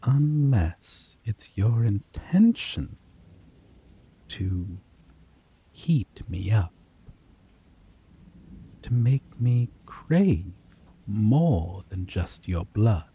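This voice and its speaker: A man playing a slow, purring possessive vampire. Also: sing-song, husky, full character voice. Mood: teasing, menacing. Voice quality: low, slow, purring delivery with soft chuckles. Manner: teasing and intimate, drops to whispers. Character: possessive predatory male vampire, teasing and doting. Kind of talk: ASMR roleplay.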